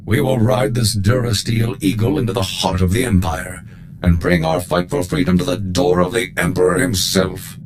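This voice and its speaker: An actor playing a gung-ho, formal and fervently loyal military war droid in a video game, with bombastic delivery. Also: male voice, sits low, a little hiss or hum, poor audio. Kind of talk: video game character acting.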